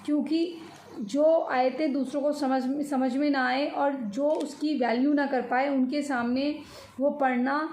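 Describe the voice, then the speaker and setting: A woman with an Indian accent giving informal news commentary, explaining in a halting, repetitive way and mixing in English words. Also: high voice, steady tone, darkish tone. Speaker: commentator on social and religious news. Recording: echoey room with faint noise.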